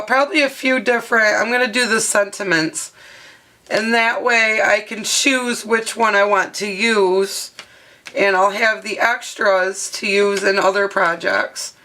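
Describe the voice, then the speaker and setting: Hoarse woman chatting slowly through a craft demo. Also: a little high, fairly even intonation, bright, forceful tone. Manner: chatty, rambling with fillers. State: hoarse, partly lost voice. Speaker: craft video host. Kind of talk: casual craft tutorial narration. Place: home craft studio, at a desk. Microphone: overhead camera mic, speaker off-frame.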